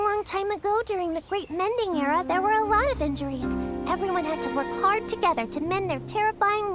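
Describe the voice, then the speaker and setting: A woman voicing a small, excitable young village creature in a video game, chatting and telling tales in an animated, earnest way. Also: very high voice. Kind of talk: video game character dialogue. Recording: game music behind the voice.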